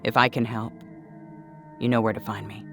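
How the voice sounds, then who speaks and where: A man's voice: mid-range voice, little pitch movement, normal speed, vocal fry, over music, close to an everyday voice. A man voicing a kind, worried male friend, hurt and pleading, in a dramatic audio story. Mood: resigned.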